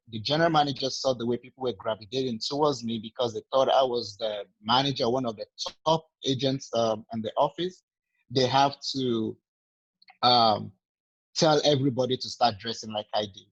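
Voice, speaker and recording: A man's voice: mid-range voice, normal speed, no room sound, highs cut off. A man with a Nigerian accent, talking earnestly through sales advice in a casual group training session. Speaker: sales agent.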